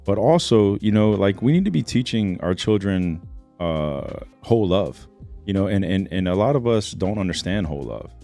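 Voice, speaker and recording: A man's voice: low-pitched, lively intonation, moderate pace, mellow, faint music behind. A man co-hosting a podcast, laid-back and slangy in casual talk, often restarting his sentences. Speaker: podcast co-host. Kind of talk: casual conversation.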